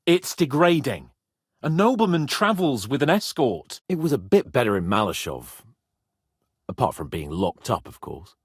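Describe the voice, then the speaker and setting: A man's voice: mid-range voice, big pitch swings, moderate pace, slightly rough, no room sound, studio quality. A man playing a haughty, hot-tempered young medieval nobleman in a video game, with a pompous, emphatic delivery. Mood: subdued, troubled. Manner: pompous, lordly, emphatic, swearing.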